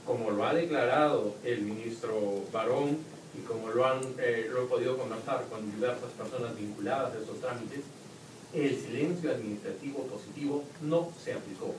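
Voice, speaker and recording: A man's voice: medium pitch, normal speed. A man with a Peruvian accent speaking on a university panel, explaining points with examples and occasional restarts. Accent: Peruvian Spanish. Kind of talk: academic panel talk. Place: lecture hall at a university event. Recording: roomy, distant hall recording with noise.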